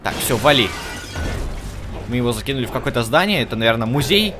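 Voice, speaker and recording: A man's voice: mid-range voice, animated, quick pace, noisy background. A man giving casual let's play commentary as host, chatting to the game's characters and to his viewers. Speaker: gaming video host.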